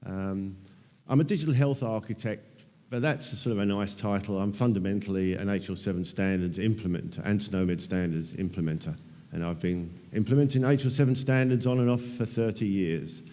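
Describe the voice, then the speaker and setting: A man in his fifties with an English accent, giving a relaxed technical conference talk full of ums and dry self-deprecating asides. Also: fairly low pitch, brisk. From England. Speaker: conference presenter. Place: large conference hall. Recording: long hall reverb.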